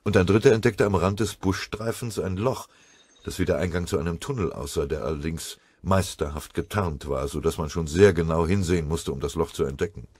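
A man reading a war story as an audiobook narrator in dramatic scripted narration, with a husky voice. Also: deep voice, a little fast, a little mellow, dry recording.

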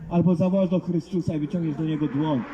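A man's voice: a little high, fairly even intonation, quick pace, highs cut off, noisy background. A man preaching outdoors to passers-by, fervent and emphatic, driving points home with rhetorical questions. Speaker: street preacher. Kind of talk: open-air evangelistic preaching. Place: outdoors in a public street.

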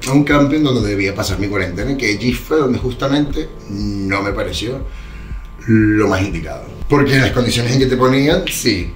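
A man in his thirties with a Venezuelan Spanish accent, telling his story casually in an interview, with hesitations and repeated words. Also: mid-range voice. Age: 30s. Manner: relaxed, repeats words for emphasis, frequent hesitations. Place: bright room indoors, seated on sofa. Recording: roomy sound with music under it.

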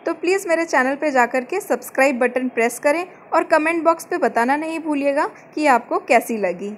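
A woman giving calm step-by-step cooking instructions as the host of a home recipe channel, mixing English words into her Hindi. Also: high voice, moderate pace, a little hiss or hum. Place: home kitchen.

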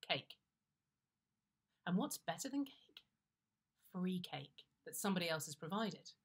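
British female storyteller, middle-aged, slightly low voice, dry confiding comic delivery. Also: unhurried, husky. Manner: wry, confiding, expressive timing. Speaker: storyteller, actress reading a story. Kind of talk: first-person comic story reading, conversational. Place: indoors, plain-walled room.

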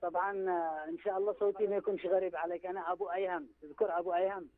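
A man's voice: high-pitched, fairly even intonation, very fast. A male caller on a phone line chatting with a talk show host, hesitant with many fillers. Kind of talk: casual call-in chat.

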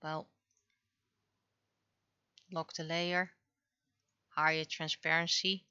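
Bright-voiced middle-aged Dutch woman, dull dry audio. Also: sits low, flat delivery, extremely slow, clean tone, no echo. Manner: rambling, thinking aloud. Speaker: streamer and webcomic artist. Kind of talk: casual livestream chat while drawing. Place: indoors at a computer.

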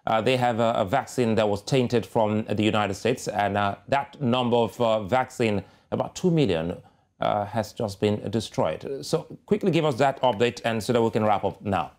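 A man hosting a news panel, wrapping up in a hurried, stumbling way. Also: on the low side, darkish tone. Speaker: news show host. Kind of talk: moderating a panel.

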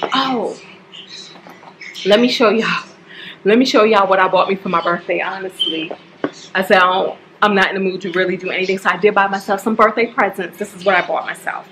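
A woman's voice: medium pitch, fairly quick, slight background noise, low-quality recording. Chatty woman in her forties. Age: 40s. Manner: chatty and expressive, addresses viewers as y'all. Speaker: budgeting vlogger. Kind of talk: casual direct-to-camera talk. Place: home staircase, indoors. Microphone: camera at close range.

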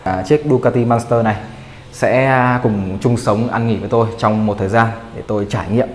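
A man's voice: mid-range voice, normal speed, slight background noise. Young man vlogging in an echoey room. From Vietnam. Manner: relaxed, hesitant with many fillers. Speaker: motovlog host. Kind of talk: casual vlog commentary. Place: small room indoors with parked motorbikes.

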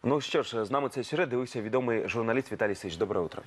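A man hosting a morning TV show, asking rapid questions that are dotted with fillers. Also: mid-range voice, over loud music. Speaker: TV host.

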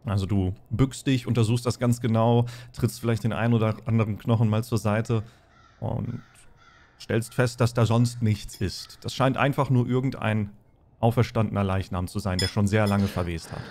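A man's voice: on the low side, fairly even intonation, moderate pace, darkish tone. Man narrating as game master. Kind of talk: improvised tabletop game narration.

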